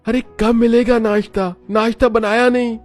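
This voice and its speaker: Man acting an irritable high-voiced cartoon husband. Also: flat delivery, moderate pace, soft background music. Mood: impatient. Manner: demanding, exclaiming. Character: irritable demanding young husband in a family cartoon.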